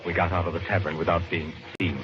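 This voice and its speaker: An actor playing a wealthy, guilt-ridden murderer in an old radio crime drama, speaking in a formal, measured, terse way. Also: male voice, low voice, little pitch movement, over loud music, natural voice. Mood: grim narration. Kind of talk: mid-century American radio crime drama acting, first-person narration. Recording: dull, noisy old radio recording.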